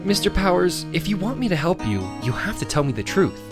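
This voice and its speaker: A woman's voice: lowish voice, big pitch swings, fairly quick. A woman playing an earnest, dry-witted young male rookie lawyer in a video game fan dub. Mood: earnest, probing. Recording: music behind the voice. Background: music.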